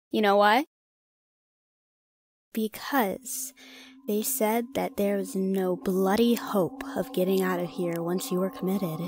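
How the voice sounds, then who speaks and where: A woman's voice: mid-range voice, darkish tone, dry recording, studio quality, close to an everyday voice. A woman acting a cocky young ghost-hunting vlogger in an audio drama, brash and teasing. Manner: brash, teasing, talks herself up.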